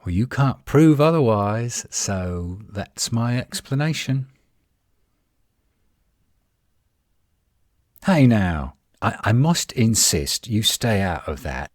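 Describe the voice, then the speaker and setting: A man playing a defensive, flirtatious tsundere werewolf in a roleplay audio drama, indignant and sarcastic with a husky voice. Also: fairly low pitch, big pitch swings, slow pace, hardly any pauses, dry recording, studio quality, exaggerated voice. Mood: flustered, defensive.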